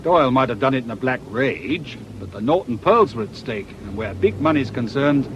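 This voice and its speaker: An actor playing a shrewd British police inspector in a radio drama, with a dry, colloquial, knowing manner. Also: male voice, expressive, normal speed, dull audio, lightly acted. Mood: assured, wry. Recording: noisy outdoor ambience, vehicle rumble.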